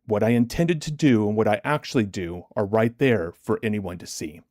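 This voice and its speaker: A man's voice: fairly low pitch, a little mellow, no room sound. Chesty man coaching on a dry livestream. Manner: deliberate, emphatic, repetitive rhetorical questions. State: chesty, getting over bronchitis, clearing throat. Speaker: livestream host and trading coach. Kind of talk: motivational talk on a livestream.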